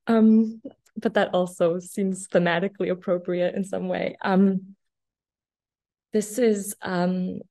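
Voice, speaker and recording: A woman in her thirties, born in Afghanistan with Farsi as her first language, reads poetry in a measured, deliberate way over a video call from a small office. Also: mid-range voice, fairly even intonation, mellow. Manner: measured, deliberate recitation with long pauses. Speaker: poet. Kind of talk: slow poetry reading. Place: small office room indoors. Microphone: laptop webcam video call.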